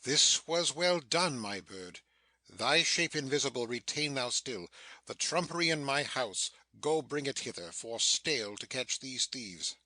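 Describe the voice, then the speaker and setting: A man's voice: medium pitch, lively intonation, measured pace, sharp tone, no room sound, rough recording. A man playing a stern, embittered sorcerer and master in a dramatic Shakespearean audiobook, with commanding, theatrical delivery.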